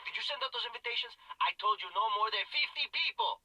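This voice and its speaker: A man's voice: very high-pitched, lively intonation, quick pace, bright, forceful tone, dull audio, slight background noise, exaggerated voice. A man playing a heroic young agent in an action video game. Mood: dry.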